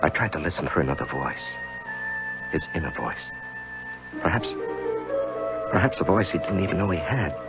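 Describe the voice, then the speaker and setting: An actor playing an anxious husband haunted by voices, in 1970s radio drama style, with a gravelly, hesitant delivery. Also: male voice, sits low, animated, normal speed, pauses often. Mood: brooding, confiding. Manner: hesitant, evasive, brooding first-person narration. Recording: dull 1970s radio recording, music behind.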